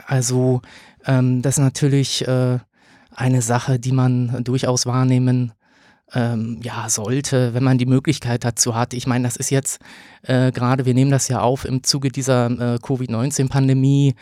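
A man in his thirties, a lawyer answering interview questions in a hesitant way, with many filler sounds and pauses. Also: mid-range voice, monotone, mellow, no room sound, clean studio sound. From Germany. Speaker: podcast guest, lawyer.

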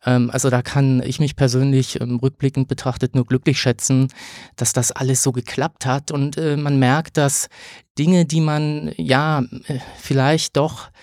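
A man in his thirties, a lawyer answering interview questions in a hesitant way, with many filler sounds and pauses. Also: mid-range voice, little pitch movement, a little mellow, dry recording, clean studio sound. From Germany. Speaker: podcast guest, lawyer.

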